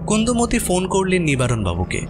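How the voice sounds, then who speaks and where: A man's voice: high voice, huge pitch swings, fairly quick, over music. A man narrating a scripted horror story in a dramatic style.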